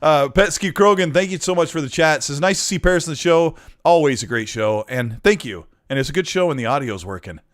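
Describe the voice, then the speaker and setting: A man's voice: fairly high pitch, lively intonation, brisk, few pauses, no echo. Man, podcast host, chatty, reading chat, dry close sound.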